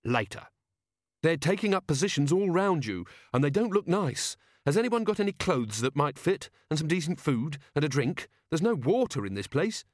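A man voicing a curt, practical werewolf woman with a brisk, snappy manner. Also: on the high side, big pitch swings, no room sound, studio quality, pitched above the performer's own voice. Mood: urgent.

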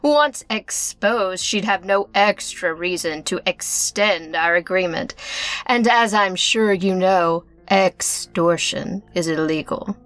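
An actress playing a playful, gloating villainess in a parody radio mystery, teasing and theatrical. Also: female voice, fairly low pitch, takes it slowly, a bit bright. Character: playful, gloating femme fatale villainess, secretly a journalist.

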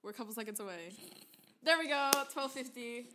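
A woman's voice: a little high, lively intonation, fairly quick, noisy background. A teenage girl from the United States co-hosting a school podcast, chatting casually with giggles and stumbling restarts, recorded in a crowded classroom. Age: teens. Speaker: podcast co-host. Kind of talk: casual chat.

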